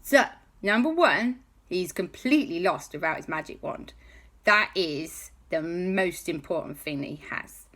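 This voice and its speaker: A woman's voice: lowish voice, lively intonation, measured pace, fairly bright tone. A woman voicing a helpful female informant explaining things plainly.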